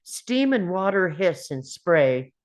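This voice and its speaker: Woman narrator, slightly low pitch, very animated intonation, scripted screenplay reading. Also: takes it slowly. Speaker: narrator at a script table read.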